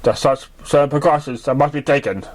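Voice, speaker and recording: A man's voice: mid-range voice, a little fast, natural voice. Commanding, kindly senior stallion, voiced by a man, formal delivery for a fan story. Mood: commanding, friendly. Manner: authoritative, formal. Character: booming but kindly senior stallion weather team leader.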